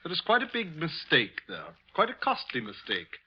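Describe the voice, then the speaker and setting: Smug, lilting office boss. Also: male voice, a little high, wildly swooping pitch, moderate pace, breathy edge, exaggerated voice. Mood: smug, patronizing. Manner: cool, mocking, condescending. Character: smug patronizing office superior, clever and ambitious man. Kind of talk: 1940s radio acting. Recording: muffled vintage 1940s radio recording.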